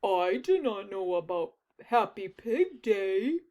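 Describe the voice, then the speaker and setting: A woman voicing a gentle, worried male elephant in a storybook read-aloud, speaking earnestly and plaintively. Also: medium pitch, big pitch swings, takes it slowly, heavy background noise. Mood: unsure.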